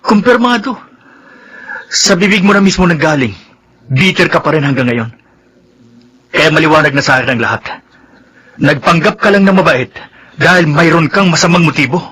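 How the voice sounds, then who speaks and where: An actor playing an upset, earnest young brother in a Filipino radio drama, with a Filipino accent. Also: male voice, fairly high pitch, moderate pace, long pauses, a bit bright, slightly rough. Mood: accusing.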